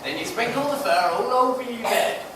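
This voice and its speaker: A man's voice: extremely high pitch, expressive, normal speed. A man playing a daft, earnest sailor in an amateur school pantomime. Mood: earnest, insistent. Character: daft old-salt pirate sailor with earnest folk remedies. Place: school stage in a hall. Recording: echoey hall from far off, noisy.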